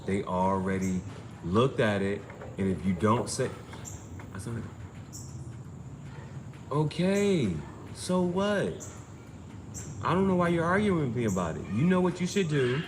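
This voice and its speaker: A man's voice: mid-range voice, lively intonation, a little slow, long pauses. A man giving an informal spiritual reading, urging advice in an insistent, repetitive, emphatic way. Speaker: amateur tarot reader and streamer. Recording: clearly noisy background ambience.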